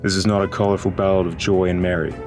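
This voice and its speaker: Music and rain play under a man's deep voice. He narrates a scripted noir horror tale gravely and dramatically, as a storyteller in an audio drama. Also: little pitch movement, quick pace, darkish tone. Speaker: narrator and voice actor.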